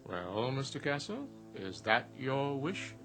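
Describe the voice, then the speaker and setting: Suave, theatrical ancient genie. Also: male voice, mid-range voice, sing-song, slow, a bit bright, faint music behind, full character voice. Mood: smooth, businesslike. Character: urbane, smug male genie, ancient and patient. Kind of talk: radio drama acting.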